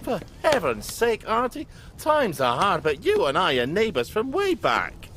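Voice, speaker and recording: A man playing an excitable, stubborn middle-aged British father in a dramatic video game performance, gruff and defensive. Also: high-pitched, measured pace, slight background noise, full character voice.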